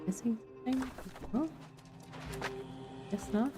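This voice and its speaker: A woman doing casual let's play commentary as a streamer, low-key and half muttering to herself. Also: slow. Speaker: game streamer. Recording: music playing behind. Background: clear background music.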